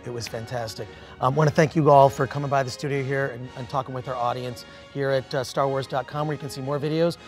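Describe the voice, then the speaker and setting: A man's voice: mid-range voice, fairly even intonation, quick pace, soft background music. A man working as a show host, interviewing guests in an upbeat style.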